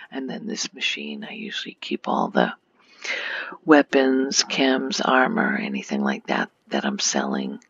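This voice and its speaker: Slow, breathy soft-spoken woman with vocal fry. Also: extremely low pitch, dull audio. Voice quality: soft, hushed, breathy, often slipping into whisper. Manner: gentle, unhurried, informal. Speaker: ASMR video creator and gamer. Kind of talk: soft-spoken ASMR game tour commentary.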